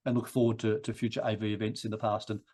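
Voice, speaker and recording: A man giving a rambling thank-you speech for a society, his voice croaky from a chest cold. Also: lowish voice, level tone, fast talker, darkish tone. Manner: rambling, many restarts and repeated words.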